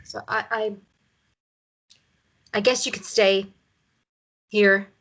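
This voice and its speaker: A woman's voice: medium pitch, fairly even intonation, slow pace, a bit bright. Harried countryside mum, played by a woman. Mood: reluctant, annoyed. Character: harried, irritable countryside single mother, reluctantly hospitable. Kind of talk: drama table read.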